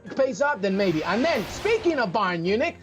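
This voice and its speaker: A man with a high, bright-sounding voice breaks down soccer matches in a studio. He talks with energy, stacks up rhetorical questions and leans hard on key points. Faint music plays behind him. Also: a little fast. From Peru. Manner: animated, emphatic, rhetorical questions. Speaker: soccer analyst. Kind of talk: studio sports analysis.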